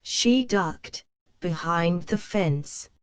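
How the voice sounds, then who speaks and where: A woman narrating a children's storybook in a slow, clear read-aloud manner. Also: lowish voice, expressive.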